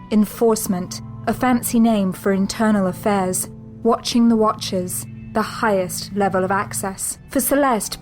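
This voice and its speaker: A woman giving measured, scripted narration of a spy thriller. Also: mid-range voice. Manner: measured, even storytelling.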